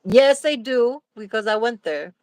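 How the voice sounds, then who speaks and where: A woman's voice: a little high, lively intonation, measured pace, darkish tone, dry recording, rough recording. A middle-aged woman with a Polish accent, chatting casually on a livestream, hesitating often and reading viewer comments aloud. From Poland. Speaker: livestream co-host. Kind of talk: casual live chat.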